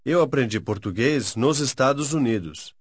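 A man modelling phrases for learners, repeating them slowly and carefully, syllable by syllable, on a studio mic. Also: mid-range voice, lively intonation, breathy edge, no room sound. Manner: slow, careful, syllable-by-syllable repetition. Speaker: native-speaker model in a language course. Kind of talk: scripted phrase drills.

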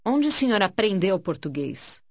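A woman speaking clear, polite, scripted dialogue lines in a language course, on a studio mic. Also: medium pitch, big pitch swings, moderate pace, a little mellow, dry recording.

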